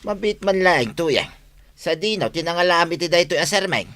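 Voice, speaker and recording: A man's voice: sits high, expressive, normal speed, fairly bright tone, a little hiss or hum. A man playing a grumbling, superstitious village husband in an Ilocano radio comedy, complaining emphatically with an Ilocano accent. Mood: uneasy, grumbling. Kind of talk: Filipino radio comedy drama acting.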